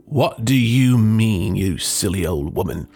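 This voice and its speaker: A man doing a gruff, angry old husband. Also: fairly low pitch, expressive, a little slow, dark tone, soft background music, full character voice.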